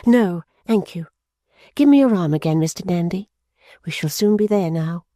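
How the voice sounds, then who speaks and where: A woman reader voicing a gentle, timid young woman who speaks softly and earnestly pleads. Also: fairly low pitch, expressive, moderate pace, no echo, studio quality, pitched above the performer's own voice, lightly acted. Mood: pleading.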